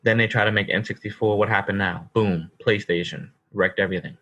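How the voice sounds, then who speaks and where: A man's voice: fairly low pitch, brisk, fairly bright tone, dull audio. A man in his thirties co-hosting a casual podcast, emphatic and calling his co-host bro. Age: 30s. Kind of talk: casual chat.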